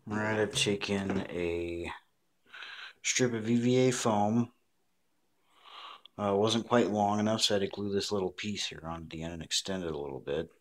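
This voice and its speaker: Low-voiced man explaining handiwork. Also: steady tone, moderate pace, pauses often. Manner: explains step by step, plainspoken. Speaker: craft tutorial presenter. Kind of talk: casual hands-on tutorial narration.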